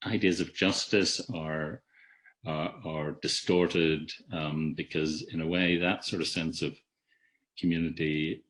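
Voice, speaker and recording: A man giving a reflective academic talk in a webinar, measured, with frequent restarts and words that trail off softly. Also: extremely low pitch. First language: not an Irish speaker. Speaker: webinar speaker. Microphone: video call.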